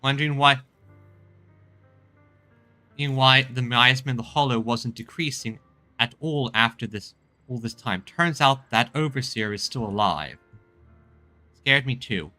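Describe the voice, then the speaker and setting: Male game streamer, very bright forceful voice, stumbling read-aloud of story lines, dry sound over faint music. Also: medium pitch, a little slow, pauses often, no echo. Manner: stumbles and repeats phrases while reading. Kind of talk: reading game dialogue aloud.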